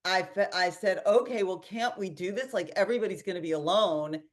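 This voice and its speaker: A woman's voice: mid-range voice, a little fast. A woman hosting a webinar conversation, telling a story animatedly with restarts and quoted asides, over a video call. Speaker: host and company founder.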